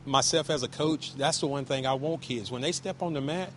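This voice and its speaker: A man, a wrestling coach, talking casually in an interview with a loud voice, emphatic and repetitive, with many restarts. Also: medium pitch, a little fast, a little hiss or hum.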